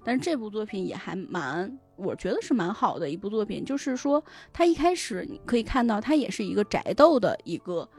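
A woman's voice: mid-range voice, big pitch swings, brisk, hardly any pauses, darkish tone, slightly rough. A woman guest in a casual podcast discussion, explaining things and often rephrasing her points, with music behind her. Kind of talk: casual discussion of novels and their adaptations. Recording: music under the voice. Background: background music.

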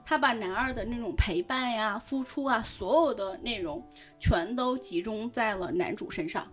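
A woman explaining things in animated casual chat as a guest on a talk show. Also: fairly high pitch, moderate pace. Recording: background music under the voice.

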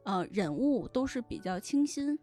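Woman podcast guest, voice on the high side, explains stuff casually and rewords as she goes, bit of music behind. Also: very animated, normal speed, dark tone. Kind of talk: casual discussion of novels and their adaptations. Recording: music under the voice. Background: background music.

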